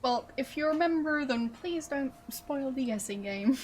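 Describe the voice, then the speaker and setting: A woman streamer chatting casually with her viewers, relaxed and amused as she answers their guesses. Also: high-pitched, measured pace, a little hiss or hum.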